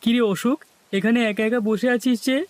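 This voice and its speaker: A man's voice: very high voice, steady tone, normal speed, a little mellow. A man voicing a grumbling, complaining village shopper in a cartoon. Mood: chatty. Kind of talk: cartoon dialogue.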